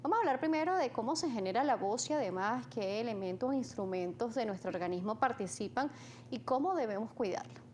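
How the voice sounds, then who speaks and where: A woman hosting a health interview, introducing her guest and asking clear, orderly questions. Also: on the high side, expressive, fairly quick, darkish tone, slight background noise. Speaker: host.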